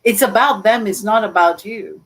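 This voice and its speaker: Woman, panelist, mid-pitched voice, earnest, emphatic, repeats key points, video call. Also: normal speed, low-quality recording. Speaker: panelist, book author. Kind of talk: live panel discussion.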